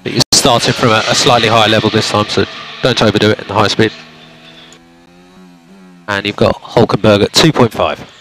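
A man working as a race engineer, giving calm, clipped instructions to a driver over team radio. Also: fairly low pitch, brisk, long pauses. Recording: noisy team radio, car engine sound.

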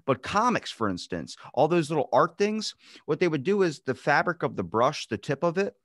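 A man's voice: fairly high pitch, sing-song, normal speed, a bit bright, no echo, clean studio sound. A man in his twenties hosting a casual podcast conversation, informal and slangy, acting out imagined exchanges. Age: 20s. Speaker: podcast host. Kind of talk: casual chat interview. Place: dark indoor room with curtains, video call.

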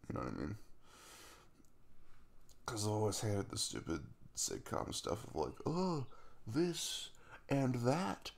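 Casting: male actor as affectionate young crush for ASMR roleplay; chatty, earnest, very lively pitch swings with a creaky edge. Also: slow, pauses often, full character voice. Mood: casual, reassuring. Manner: rambling, playful, animated. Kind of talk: intimate ASMR roleplay, casual conversational acting.

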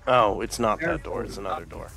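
Male gamer, fry-heavy lively voice, jokey and profane. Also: on the low side, fairly quick. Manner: joking, swears freely. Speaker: gamer in a co-op session. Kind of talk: casual gaming chat.

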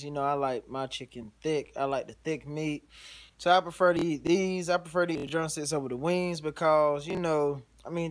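A man, likely in his thirties, casually talking through a home cooking vlog in a laid-back, rambling way, filmed on a phone held at arm's length in a small kitchen. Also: on the high side. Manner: laid-back, rambling, lots of "you know what I'm saying". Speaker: home cook vlogger. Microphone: phone camera held at arm's length.

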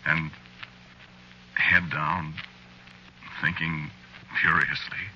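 A deep, hard-edged man's voice narrates a dark 1940s radio play. He is a meek, guilt-ridden husband, halting and brooding as he confesses. The old recording is muffled with faint hiss. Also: little pitch movement, full character voice. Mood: troubled confession. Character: guilt-ridden meek married man confessing his crimes. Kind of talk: 1940s radio drama acting, first-person narration.

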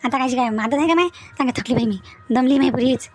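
Woman acting cartoon village auntie, very high voice, rapid chatter, pushy, insistent. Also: darkish tone. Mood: weary complaining. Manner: chatty, colloquial, repetitive, insistent. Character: talkative village aunt and pushy matchmaker. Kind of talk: Marathi comedy cartoon dialogue.

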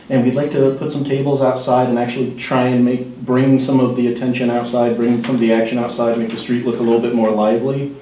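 A man's voice: medium pitch, mellow, slight background noise. A man addressing a town council as a local business owner, apologetic and earnest. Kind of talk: public comment at council meeting. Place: town hall meeting room. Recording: echoey room from far off.

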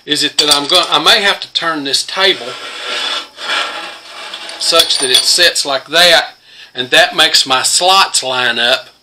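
A man's voice: a little high, slow, pauses often, noisy background. An older man in his home workshop, showing his tools in a plain-spoken, rambling way with many fillers. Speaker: homesteader and host. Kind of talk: casual workshop demonstration. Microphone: phone camera close to the workbench.

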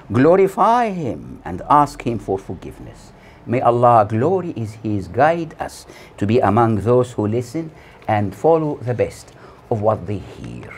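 A man in his sixties delivering a scripted sermon as a preacher, solemn and deliberate, with measured phrases and pauses. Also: on the low side, darkish tone, slightly rough. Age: 60s. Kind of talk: scripted sermon read from notes. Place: small plain room indoors. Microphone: lapel mic.

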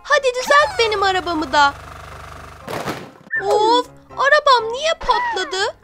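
An actor playing an excitable, playful little lion cub in a children's cartoon dub, exclamatory and childlike. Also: extremely high pitch, measured pace, exaggerated voice. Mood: excited. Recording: music under the voice.

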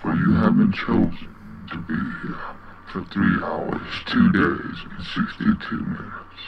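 A man voicing an ominous otherworldly announcer, theatrical, with a deep gravelly voice electronically pitched down and layered. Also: fairly even intonation, full character voice. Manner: slow, theatrical welcome. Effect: pitch-shifted down, layered, processed. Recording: very noisy, muffled, processed home recording.

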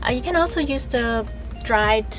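A young woman who speaks as a chats her way through a van-life vlog, laughing easily and a little self-conscious. Her voice sits a bit high, with rain tapping on the van roof. Lo-fi background music plays under her. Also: animated, normal speed. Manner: chatty, giggly, self-conscious. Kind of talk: casual vlog chat while cooking.

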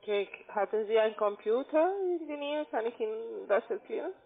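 A woman's voice: on the high side, vocal fry, a little hiss or hum. Foreign-accented woman on a muffled phone line. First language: not German. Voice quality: mumbled, indistinct. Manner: evasive, halting broken German phrasing. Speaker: telemarketing caller pitching investments. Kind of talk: phone sales call.

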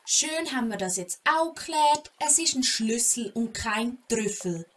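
A woman's voice: fairly high pitch, expressive, a little slow. A woman with a Swiss German accent acting a bold, impulsive, cheeky young girl adventurer in a children's audio play. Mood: defiant.

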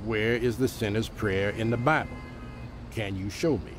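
An actor playing a gruff, shrewd, sardonic homeless man in a radio drama, curt and confrontational. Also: fairly low pitch, normal speed, music in the background, close to an everyday voice. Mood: dry, probing.

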